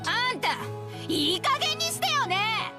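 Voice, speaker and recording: Scornful high-pitched schoolgirls. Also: very animated. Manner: sharp, scornful and rapid. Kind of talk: Japanese visual novel voice acting. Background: music.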